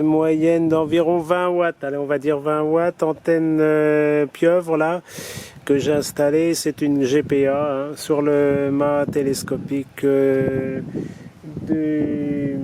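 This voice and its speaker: A man with a slightly high voice working as an amateur radio operator outdoors. He talks casually in radio procedure, over clearly audible outdoor and vehicle noise. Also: little pitch movement, takes it slowly, warm tone. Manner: spells call signs in phonetic alphabet. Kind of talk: ham radio contact, casual demonstration. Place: outdoors, portable station. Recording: noisy outdoor recording, vehicle and urban sounds.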